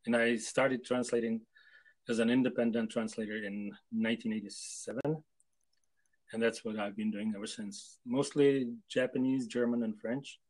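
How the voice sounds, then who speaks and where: A man's voice: mid-range voice, little pitch movement, darkish tone, low-quality recording. Older man, hesitant video-call interview answers. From Czechoslovakia. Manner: hesitant, with many pauses and fillers. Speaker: guest translator. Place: home room with photos on wall.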